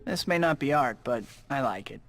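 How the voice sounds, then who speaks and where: A man's voice: medium pitch, animated, normal speed, a bit bright. A young American man voicing a glib, awkward young superhero in a video game, with music behind him. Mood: cocky, teasing. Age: young adult. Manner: glib, quipping. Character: wisecracking, awkward young male superhero and photographer.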